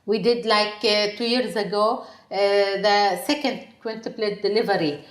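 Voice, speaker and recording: A woman's voice: medium pitch, steady tone, unhurried. A woman from Syria, a hospital chief executive, answering interview questions in English in a hesitant way, with many fillers and restarts. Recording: roomy echo.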